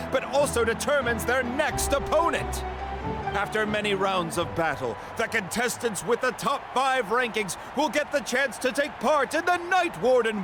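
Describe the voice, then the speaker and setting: An actor voicing an excitable male tournament announcer in a video game, with showy, projected delivery. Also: sits high. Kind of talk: game voice acting, ceremonial announcing. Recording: loud music behind the voice.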